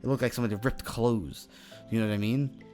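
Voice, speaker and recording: A man playing a confident young demon mage in an improvised Minecraft fantasy roleplay, speaking in a halting, conversational way and often checking for agreement. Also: medium pitch, fairly even intonation, a little mellow, natural voice. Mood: earnest, explaining. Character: confident young male demon mage, casual, secretive, determined. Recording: voice over background music.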